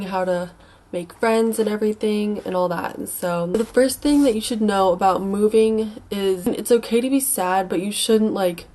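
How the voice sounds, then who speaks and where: A young woman with an American accent talks in a congested, slightly sick voice at an average pitch. She gives casual advice like a vlogger. Her delivery is informal and rambling, with some hesitation. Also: darkish tone. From United States. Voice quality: stuffy, congested nose. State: mildly sick with a stuffy nose.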